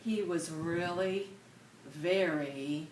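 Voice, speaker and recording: A woman reads a children's book aloud at an unhurried pace, stopping to put questions to young listeners. She sounds like a teacher, with expressive storybook phrasing. The recording is roomy and distant, with clear background noise. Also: lowish voice. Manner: pauses to prompt and echo children's answers. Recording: echoey room, distant mic, noisy background.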